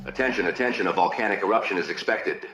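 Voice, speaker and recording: Guy acting as cartoon warning announcer, talks quick, muffled echoey sound, urgent public alert style. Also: fairly low pitch, fairly bright tone, lightly acted. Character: brisk male public emergency announcer. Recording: dull echoey announcement with faint music.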